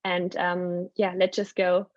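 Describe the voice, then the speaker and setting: A woman's voice: lowish voice, completely flat delivery, slow pace, dry recording. A young woman hosts an online webinar over a video call, filling her sentences with ums and small restarts. Her voice is dry and close, with slightly dull call audio. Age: young adult. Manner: hesitant, many ums. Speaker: webinar host. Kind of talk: hosting a Zoom webinar.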